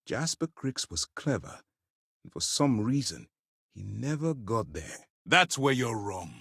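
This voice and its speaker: A stern space commander, widely swinging pitch. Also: male voice, very animated, vocal fry, a bit bright, slightly rough, no echo, studio quality, exaggerated voice. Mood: grave, firm. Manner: measured, formal, authoritative. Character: stern, principled middle-aged male military commander in space. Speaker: video game voice actor. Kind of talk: dramatic video game dialogue.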